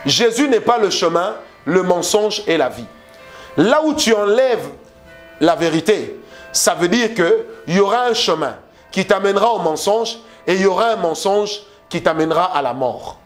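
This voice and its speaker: Male preacher, Congolese French accent, high voice, forceful sermon delivery, faint music underneath. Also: expressive, normal speed. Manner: emphatic preaching with repeated phrases and rhetorical questions.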